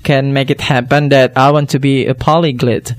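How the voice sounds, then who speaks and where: A man's voice: medium pitch, little pitch movement, normal speed. A man, speaking as a guest, with many fillers and hesitations. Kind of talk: casual discussion.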